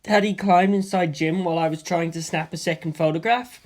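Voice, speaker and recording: A woman narrating a story in a steady, expressive audiobook reading. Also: on the low side, brisk. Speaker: reader.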